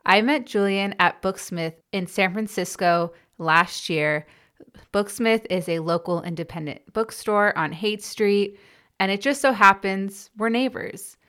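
A woman's voice: mid-range voice, measured pace, fairly bright tone. A woman hosting a podcast interview, speaking with a stutter that repeats sounds and blocks on words. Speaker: podcast host.

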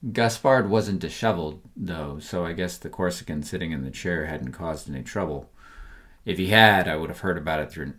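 A man reading a scripted first-person crime story as the narrator of an audio fiction serial. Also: extremely low pitch.

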